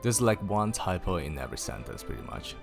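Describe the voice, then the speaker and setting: A man streaming a casual story reading, raising his pitch for the characters and stopping to laugh and swear at typos. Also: very animated, a little fast, creaky, music in the background. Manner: lifts pitch for quoted characters. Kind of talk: casual livestream book reading.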